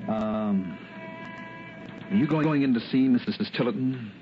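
An actor playing a shrewd, wry private investigator in early sixties radio drama, clipped and probing. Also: male voice, medium pitch, unhurried, lightly acted. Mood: polite, hesitant. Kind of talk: early 1960s radio drama acting and narration. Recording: dull vintage radio recording, music behind.

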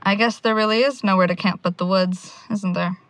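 A woman's voice: medium pitch, fairly even intonation, a little fast, no echo, lightly acted. An actress playing a wry, caring young woman on a road trip in a naturalistic audio drama. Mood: dryly sarcastic. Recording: clear vehicle and ambient noise behind.

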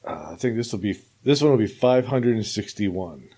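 A man hosting a podcast, chatting in a quiet, laid-back way. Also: on the low side, animated, moderate pace. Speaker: podcast host. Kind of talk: casual chat.

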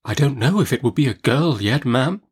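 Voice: mid-range voice, lively intonation, normal speed, pitched above the performer's own voice, natural voice. A narrator voicing a meek young mother-to-be in an audiobook, faltering, faint and innocent.

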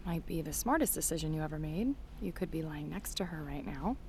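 Low-voiced, brash female reporter. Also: animated, brisk, a little mellow, slight background noise. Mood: wry. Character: brash, ambitious female political reporter, blunt and sardonic. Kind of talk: naturalistic audio drama acting.

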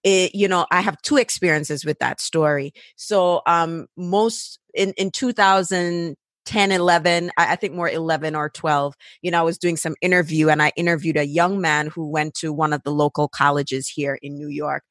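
A woman's voice: low-pitched, fairly even intonation, normal speed, few pauses, a bit bright, no room sound, clean studio sound. A woman host with a Jamaican accent, chatting through an anecdote in an interview. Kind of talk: interview conversation.

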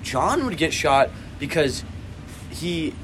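A man's voice: on the high side, big pitch swings, slow pace, a bit bright, a little hiss or hum. A young man co-hosting a podcast in casual chat, rambling with many restarts and fillers, recorded on a phone at a busy competition venue. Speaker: podcast co-host. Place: jazz band competition venue. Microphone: phone speaker.

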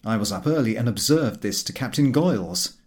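A man reading a comic literary story aloud as an audiobook narrator, in an expressive storytelling manner. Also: medium pitch, brisk. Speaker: audiobook reader.

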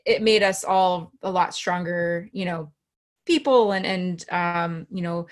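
A woman who hosts a podcast, raised in New Jersey, chatting casually and filling her talk with "you know". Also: fairly low pitch. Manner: filler-heavy, lots of "you know". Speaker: podcast host.

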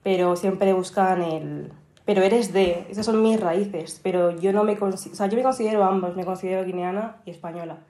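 A young guest with a Madrid accent speaking calmly and steadily. Her voice is warm and mellow, and the room around her is noticeably echoey. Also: medium pitch, moderate pace. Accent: Madrid Spanish. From Spain. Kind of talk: casual interview chat.

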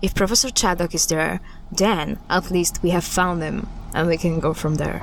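A resolute occult investigator in an audio drama acted by a woman. Her voice is a little low and guarded, with faint vehicle noise behind it. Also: fairly even intonation, normal speed, lightly acted. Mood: resolute, grave.

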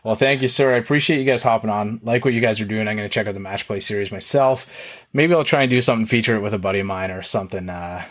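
A young adult man with a Canadian accent, hosting a casual interview and asking quick-fire questions in a friendly, rambling way. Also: on the low side. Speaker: podcast host.

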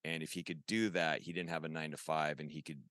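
A man's voice: very deep voice, level tone, fast talker, a bit bright, no echo. A man in his forties chatting casually as a podcast guest, rambling and enthusiastic, often saying "dude". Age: 40s. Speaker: podcast guest, toy artist. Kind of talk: casual chat.

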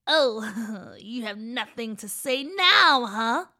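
A woman's voice: on the high side, expressive, takes it slowly, sharp tone, heavily acted. A woman voicing a cruel, greedy old woman who bosses children around and gloats over fattening them up. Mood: gloating. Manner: bossy, sneering, gloating.

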